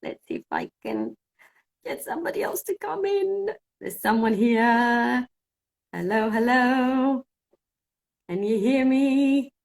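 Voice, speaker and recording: A fairly high-voiced woman from Cyprus, a native Greek speaker, teaching English on a phone live stream. She chats casually and talks through each technical hitch as it comes up. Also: big pitch swings, slow pace, gravelly. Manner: chatty, thinking aloud while fixing technical trouble.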